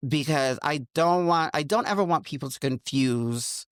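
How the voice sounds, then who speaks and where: A woman from New York with a thick New York accent, chatting as a podcast guest and telling animated stories. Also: normal speed, dry recording, clean studio sound. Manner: animated storyteller, big pitch swings, frequent likes. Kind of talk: casual chat.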